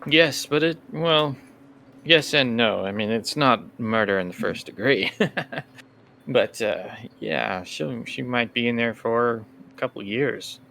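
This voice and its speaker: A man's voice: medium pitch, a little slow, creaky, bright, forceful tone, slight background noise. A man acting as game master, improvising in character for a tabletop role-playing game.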